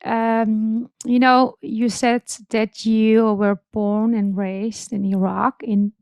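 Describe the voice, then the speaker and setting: A woman hosting a podcast interview, her voice set a little high. She asks her questions gently and encourages her guest, in a close, dry recording. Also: level tone, slow pace, clear, resonant tone, no room sound. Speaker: podcast host.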